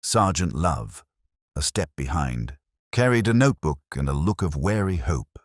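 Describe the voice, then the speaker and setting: A very deep male voice reads a mystery story. The intonation moves expressively while the delivery stays measured and dryly understated. The sound is close and dry. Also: sing-song, no room sound. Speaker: audiobook narrator. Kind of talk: scripted storytelling narration of a mystery.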